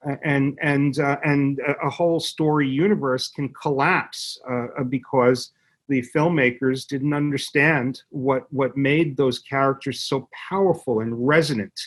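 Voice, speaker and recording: A man speaking as an expert interview guest, explaining conversationally in a halting, emphatic way and repeating words for effect. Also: medium pitch, fairly even intonation. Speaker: interview guest, media company executive.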